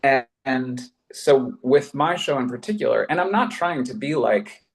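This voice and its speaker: A man's voice: mid-range voice, animated, normal speed, low-quality recording. A man speaking conversationally in a panel discussion as a podcast producer, effusive and emphatic, thinking aloud in casual slang.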